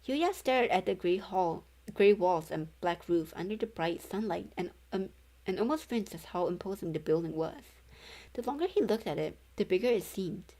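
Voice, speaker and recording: Woman reading aloud with lively pitch. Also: low-quality recording. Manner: halting, stumbles and corrects words. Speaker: streamer reading a novel aloud. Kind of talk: read-along narration of a novel.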